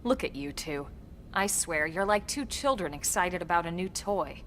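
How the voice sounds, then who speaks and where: An actress playing a composed, no-nonsense female military officer in a video game, speaking in a crisp, measured, dry way. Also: on the low side, expressive, fairly bright tone, a little hiss or hum. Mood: dryly amused. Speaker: voice actor. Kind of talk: scripted video game cutscene acting.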